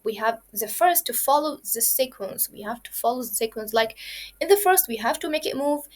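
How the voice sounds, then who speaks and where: Woman, slightly high voice, patient coding teacher for kids, repeats herself, lots of uh and okay. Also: lively intonation, moderate pace. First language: Arabic. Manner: explanatory, repeats points, frequent "uh" and "okay". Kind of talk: kids' coding lesson.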